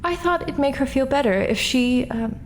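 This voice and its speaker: A woman's voice: high-pitched, animated, normal speed, slight background noise. Woman playing a brash, defensive witness. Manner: defensive, flippant, laughs things off. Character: brash, self-justifying woman on the stand. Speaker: witness Ross at an inquest. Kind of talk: audio drama acting.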